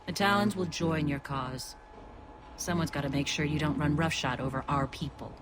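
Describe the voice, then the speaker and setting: A woman's voice: deep voice, moderate pace, lightly acted. Woman doing a wary female militia boss in a video game, dry and stubborn, kinda firm, noisy background.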